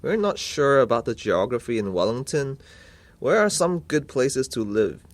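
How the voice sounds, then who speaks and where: Polite, inquiring uncle in a scripted language-test conversation, played by a man; medium pitch, dry close recording. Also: big pitch swings, moderate pace, no echo, lightly acted. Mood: polite, curious. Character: polite concerned uncle seeking advice for his niece. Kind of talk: scripted listening-test dialogue.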